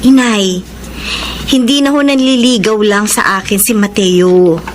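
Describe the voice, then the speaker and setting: A woman's voice: medium pitch, measured pace, slight background noise, close to an everyday voice. A woman acting in a Filipino radio drama as an earnest young daughter, pleading politely but firmly. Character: earnest young daughter defending her boyfriend, respectful but firm.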